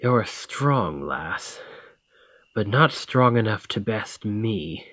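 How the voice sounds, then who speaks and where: A man's voice: fairly low pitch, very animated, slow pace, fairly bright tone, slightly rough, no echo, full character voice. A man playing a dominant, teasing fantasy thane in an ASMR roleplay, commanding and flirtatious. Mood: challenging, playful. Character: dominant Nordic fantasy thane, teasing, confident warrior lord. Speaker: roleplay audio performer. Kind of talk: ASMR fantasy roleplay acting, addressing the listener.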